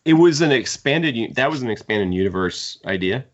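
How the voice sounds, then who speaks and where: A man's voice: mid-range voice, lively intonation, normal speed, dull audio. A man co-hosting a book podcast, talking casually and analytically as he gives his opinions. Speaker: podcast co-host discussing books.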